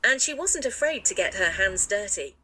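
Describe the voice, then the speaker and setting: A woman's voice: mid-range voice, big pitch swings, moderate pace, bright, forceful tone. A woman playing an earnest, emphatic interviewee giving testimony in a mystery audio drama. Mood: earnest, admiring. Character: earnest woman interviewee recalling an elusive journalist. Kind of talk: acted documentary testimony.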